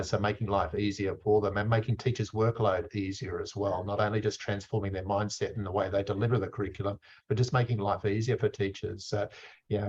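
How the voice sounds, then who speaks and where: A man's voice: on the low side, darkish tone. A man who leads a school, speaking enthusiastically about new plans in a video call discussion. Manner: enthusiastic, promotional. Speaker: school leader. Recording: dull lo-fi video call audio.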